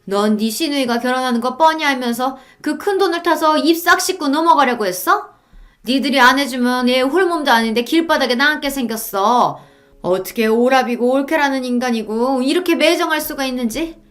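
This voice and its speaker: A woman's voice: high-pitched, a little fast, a bit bright, voice pushed up. A woman voicing a domineering, shameless older mother-in-law who harangues and demands, in a dramatized story reading. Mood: demanding.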